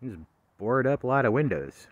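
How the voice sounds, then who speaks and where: A man's voice: mid-range voice, sing-song, brisk, no room sound, muffled recording. A man casually narrating as he hikes an abandoned rail line, rambling with lots of fillers, recorded outdoors on a handheld phone. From not local to the area. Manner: rambling, chatty, hesitant with fillers. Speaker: railfan vlogger. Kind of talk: casual walking commentary.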